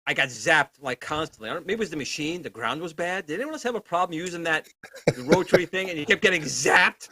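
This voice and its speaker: A man's voice: fairly high pitch, lively intonation, quick pace, a crowd in the background. A man co-hosting a casual show, talking in an exclamatory, wisecracking way. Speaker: co-host. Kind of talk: casual, joking banter.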